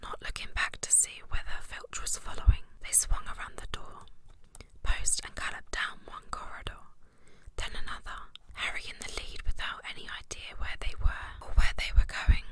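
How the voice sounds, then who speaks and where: A woman narrating a story in a breathy, unvoiced whisper, in ASMR audiobook style. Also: moderate pace.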